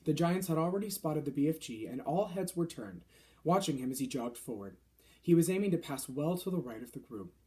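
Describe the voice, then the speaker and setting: A man's voice: on the high side, expressive, brisk, darkish tone. A man reading a children's novel aloud as scripted narration. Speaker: storybook reader.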